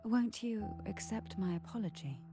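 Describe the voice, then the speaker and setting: An actress playing a soft-spoken, enigmatic aristocrat in a video game, speaking slowly and formally with a soothing, cryptic air. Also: female voice, medium pitch, lively intonation, faint music behind, natural voice. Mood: smooth, courteous. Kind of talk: scripted video game voice acting.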